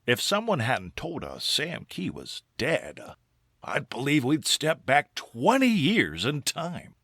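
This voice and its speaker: A man's voice: mid-range voice, wildly swooping pitch, takes it slowly, fairly bright tone, breathy edge, no echo, studio quality, exaggerated voice. A man playing a mild, curious middle-aged surgeon husband in an old-time radio style drama. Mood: agreeable.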